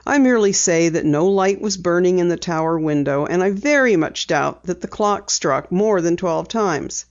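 A woman voicing a gruff, skeptical middle-aged newspaper editor and father in a storybook reading, low and dry. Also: normal speed, lo-fi sound, close to an everyday voice.

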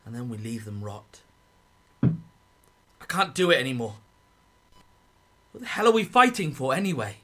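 A man's voice: mid-range voice, very animated, measured pace, pauses often, a bit bright. A man acting in a radio drama as a young, war-weary British soldier, bitter and desperate to desert. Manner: impassioned, fed up.

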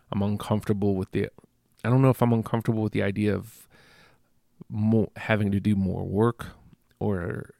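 A stuffy-nosed man talks alone into a close microphone for his podcast, rambling and self-deprecating between fillers and false starts. His voice is low and full of gravelly fry, and the recording is dry. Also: darkish tone, dry recording. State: congested. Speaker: podcast host. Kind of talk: casual solo podcast monologue.

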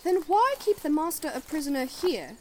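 A woman's voice: sits high, big pitch swings, moderate pace, slight background noise. A woman playing a plucky, inquisitive young journalist with a cheeky streak in an audio drama. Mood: curious. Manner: inquisitive, teasing, outspoken.

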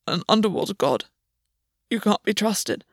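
A woman's voice: mid-range voice, animated, unhurried, slightly rough, no room sound. Injured male fae, defiant and strained, read by a woman, dry recording. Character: wounded young male fae, defiant but weak.

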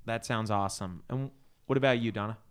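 A man's voice: fairly low pitch, fairly quick, a crowd in the background. A man co-hosting a podcast, moderating a casual group chat. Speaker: podcast co-host moderating a panel.

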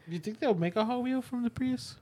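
High-voiced young guy joking around. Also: brisk, mellow. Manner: joking, slangy, says dude and bro. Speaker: podcast co-host. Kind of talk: casual banter among friends.

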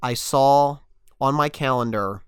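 A man's voice: medium pitch, a little slow, fairly bright tone, clean tone, dry recording, clean studio sound. A young adult man co-hosting a casual podcast, a little sick, talking things through earnestly with frequent restarts. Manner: earnest, thinking aloud with restarts. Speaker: podcast host.

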